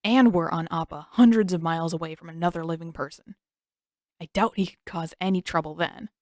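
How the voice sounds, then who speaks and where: A woman's voice: fairly low pitch, steady tone, brisk, darkish tone, no echo, studio quality, lightly acted. A woman voicing a sarcastic, worried teenage brother who grumbles and complains. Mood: grumbling. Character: young teen boy, sarcastic worried brother.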